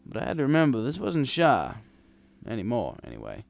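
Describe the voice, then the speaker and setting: A man's voice: mid-range voice, wildly swooping pitch, fairly quick, vocal fry. A man reading a first-person story in a wry, conversational storytelling manner. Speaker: fan fiction reader.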